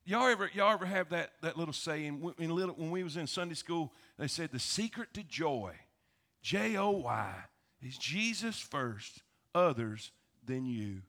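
A male pastor with a Southern US accent, preaching in a folksy, animated storytelling way and repeating phrases for emphasis. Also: on the high side, normal speed. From South Florida, United States. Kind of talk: sermon, folksy storytelling to a congregation.

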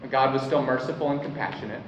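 A man preaching a sermon as pastor, earnest, slowing down to stress his key points. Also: mid-range voice, steady tone, slight background noise. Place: church hall. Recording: echoey hall, mic at a distance, dull sound.

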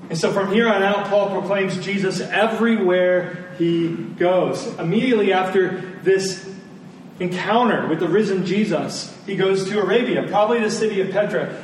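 A man preaching a sermon to a congregation, speaking emphatically with deliberate repetition and rhetorical questions. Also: high-pitched. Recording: very echoey room, distant mic, faint noise.

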